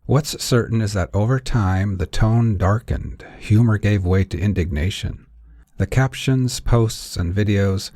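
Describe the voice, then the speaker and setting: Deep, husky male storyteller. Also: dark tone, dry recording, clean studio sound. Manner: measured, wry, theatrical storyteller delivery. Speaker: narrator and author. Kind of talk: scripted fiction narration, noir storytelling.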